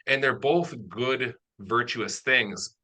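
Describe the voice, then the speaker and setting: Very bright, forceful-voiced man interviewing. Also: medium pitch, slow pace. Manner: explanatory, analytical. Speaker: interviewer, audio drama writer. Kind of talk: conversational interview.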